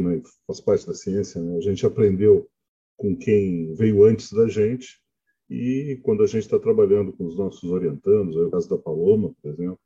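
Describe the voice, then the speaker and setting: A mature man with a deep and extremely dark voice speaks like a reflective professor. His delivery is halting, full of small tag questions, and the husky sound comes through dull and muffled. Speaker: professor and research supervisor. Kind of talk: reflective remarks in an online academic panel.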